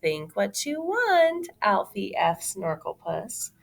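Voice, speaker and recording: An earnest little girl from a children's storybook, played by a woman reading aloud. She speaks slowly and firmly, full of belief. Her pitch rises and falls expressively. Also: big pitch swings, gravelly, heavily acted.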